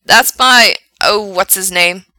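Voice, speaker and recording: Woman voicing an excitable, loud young male radio DJ. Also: mid-range voice, slow pace, no room sound. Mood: excited, teasing. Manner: enthusiastic, teasing, opinionated. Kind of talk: radio DJ patter in an audiobook story.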